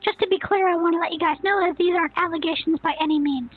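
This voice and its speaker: A woman's voice: very high-pitched, steady tone, quick pace. A woman voicing a smug young boy savant who hosts a snarky scripted commentary rant. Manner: smug, snarky, self-assured. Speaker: commentary channel host. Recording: tinny phone-like sound, faint music.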